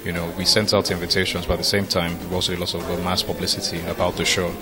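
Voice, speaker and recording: A man's voice: sits low, level tone, quick pace, over music. A man, giving a matter-of-fact, hesitant press interview. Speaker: theatre producer. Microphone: handheld reporter's mic.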